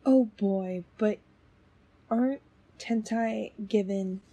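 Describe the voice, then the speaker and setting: A woman's voice: medium pitch, takes it slowly, warm tone, a little hiss or hum. A woman playing a thoughtful, inquisitive investigator's companion in an audio drama, with a musing, questioning manner. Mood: curious, musing. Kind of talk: fan audio drama acting.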